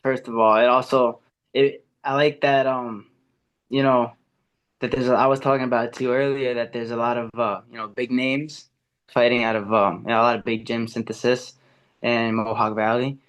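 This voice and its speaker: A young man talks on a podcast in an eager, rambling way. His pitch sits in the middle range, and he fills his sentences with "um," "you know" and restarts as he tells his story. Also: little pitch movement, normal speed, a bit bright, rough recording. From America, Ecuadorian and Puerto Rican family. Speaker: amateur MMA fighter, guest. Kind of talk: casual podcast interview.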